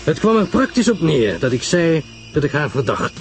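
A man plays a grave, self-assured older male detective from a radio mystery. His delivery is emphatic and measured, with widely swinging pitch. Music plays along with the voice. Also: very animated.

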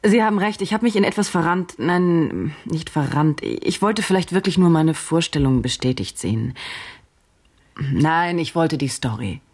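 An actress playing a probing, reflective woman journalist in a radio drama, with a deliberate, brooding delivery. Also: low-pitched, lively intonation, darkish tone. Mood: self-questioning, confessional.

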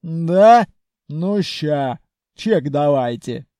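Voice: animated, extremely slow, mellow, no room sound, clean studio sound. Чтец озвучивает хрупкую, но грубую и угрюмую эльфийку из аудиокниги, с хриплым басом и ворчливой простецкой речью. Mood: surly, gruff. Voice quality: hoarse bass. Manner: grumbling, slangy, curt. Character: frail elf woman, gruff troll-raised chain smoker, rude, surly.

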